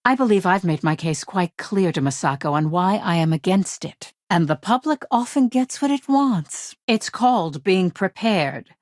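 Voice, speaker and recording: A woman playing a brisk, guarded, impatient corporate lawyer in a video game, speaking in a crisp, formal, curt way. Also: on the low side, lively intonation, a little mellow, dry recording, clean studio sound, natural voice. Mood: firm, guarded. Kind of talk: scripted game dialogue.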